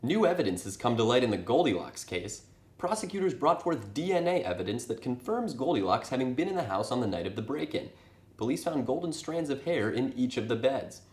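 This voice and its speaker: A man plays a TV news anchor in a comedy sketch. The voice sits at a middling pitch, with a formal, slightly wry scripted delivery in an echoey room. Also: big pitch swings, brisk, a little mellow, close to an everyday voice. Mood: newsy, wry. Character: Jack, male fairy tale TV news anchor. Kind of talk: mock TV newscast, scripted. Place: indoor studio set.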